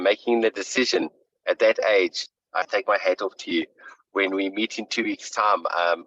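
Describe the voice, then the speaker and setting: A man's voice: low voice, a bit bright, dry recording. A man hosting an online team meeting on a video call, heaping out praise with filler ums. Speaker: meeting host. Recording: dry, dull lo-fi call audio.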